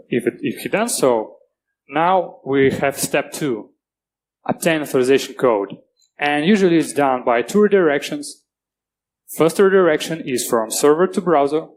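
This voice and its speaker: A man's voice: mid-range voice, rough recording. Man, Russian accent, mid-range voice, conference presenter, plain and earnest delivery. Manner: earnest, plain-spoken, halting restarts. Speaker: conference speaker. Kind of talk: technical conference talk. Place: conference stage.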